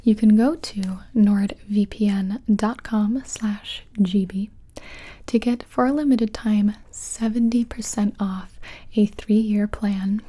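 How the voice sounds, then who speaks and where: Female ASMR host for sponsor read; breathy whisper, slow and soft-toned. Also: mid-range voice, fairly even intonation.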